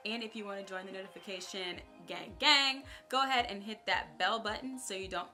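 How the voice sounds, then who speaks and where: A young woman casually chatting to camera as a beauty vlogger, upbeat and talking straight to her viewers, her voice a little raspy. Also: medium pitch, brisk, pushed and bright, faint music behind. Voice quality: slightly raspy.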